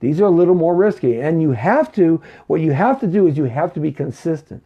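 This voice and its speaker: A man's voice: on the high side, lively intonation, fairly quick, breathy edge, dull audio. A man in his fifties hosting a live money talk show, preaching and repeating himself, and often checking in with "okay?" and "right?". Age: 50s.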